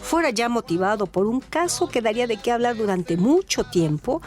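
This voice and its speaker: A woman's voice: on the high side, animated, moderate pace, a little mellow. Mexican woman narrating radio drama over music.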